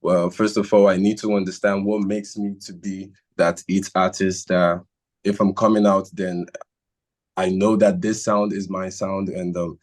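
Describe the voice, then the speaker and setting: A young musician talks through his story in a casual interview. His voice sits low and barely moves in pitch. He speaks earnestly, stopping and restarting as he looks for words. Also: flat delivery, a little mellow. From Nigeria. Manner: earnest, halting, circles back on his words. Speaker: musician guest.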